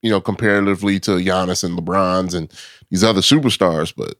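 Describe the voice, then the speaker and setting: A man with a low voice talks as a podcast guest in relaxed, slangy sports chat. He laughs often between phrases. The sound is dry and close to the mic. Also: brisk, no room sound. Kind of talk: casual sports talk.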